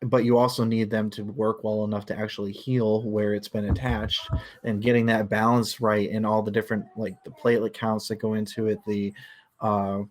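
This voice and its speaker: A man's voice: fairly low pitch, little pitch movement, normal speed. A man in a casual livestream book discussion, explaining things analytically and feeling his way through his thoughts.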